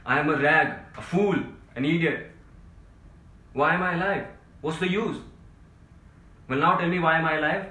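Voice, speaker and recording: An actor playing an exhausted, self-pitying middle-aged civil servant in a stage play, pleading and ranting melodramatically. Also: male voice, fairly low pitch, little pitch movement, a little fast, long pauses, close to an everyday voice. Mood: hysterical despair. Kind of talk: theatrical stage-play dialogue. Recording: echoey room, distant mic, loud noise.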